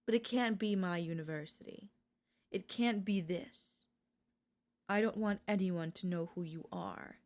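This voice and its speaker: A woman voice actor playing an obsessive older female professor in an ASMR audio roleplay, coaxing and deliberate, with dramatic pauses. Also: mid-range voice, a little mellow, lightly acted. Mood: coaxing, earnest.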